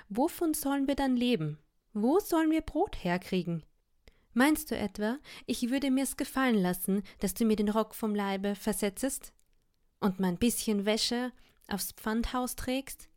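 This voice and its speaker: A woman voicing a poor young wife who scolds and laments in a high, shrill voice, read as part of an audiobook. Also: lightly acted. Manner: shrill, indignant, self-pitying laments. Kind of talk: audiobook reading of a classic tale.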